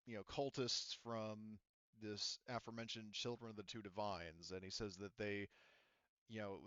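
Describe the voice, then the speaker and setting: Low smooth guy gm, lots of um and you know, kinda muffled dry sound. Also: a bit bright, no echo. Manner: hesitant, filler-heavy, says "you know" and "like" often. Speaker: game master. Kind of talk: relaying an in-game character's words to players.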